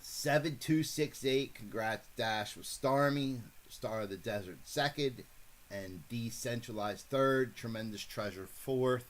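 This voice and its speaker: A man hosting a casual live stream and calling horse races, rambling and correcting himself as he goes, then getting excited at the finish. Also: medium pitch, measured pace. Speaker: host calling horse races for a fantasy contest.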